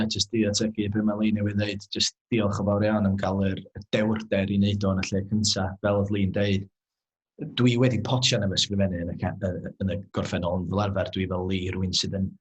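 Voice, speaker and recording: A man's voice: deep voice, little pitch movement, fast talker, husky, poor audio. A Welsh-speaking man with a North Welsh accent, giving informal congratulatory remarks over a video call. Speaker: theatre practitioner.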